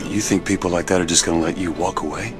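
An actor playing a tough, blunt, impatient gun-for-hire with a terse, hard-bitten manner. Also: male voice, low-pitched, fairly even intonation, fast talker, loud music behind the voice, close to an everyday voice. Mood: blunt, stern.

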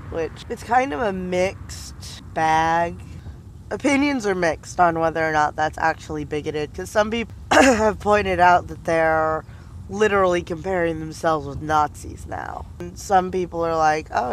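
High-voiced young American, sarcastic and chatty. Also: male voice, fairly bright tone. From United States. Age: young adult. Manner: sarcastic, quoting others mockingly. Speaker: vlogger. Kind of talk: casual chat, recounting arguments. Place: outdoors by a fence near a drive-through. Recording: outdoor street noise behind.

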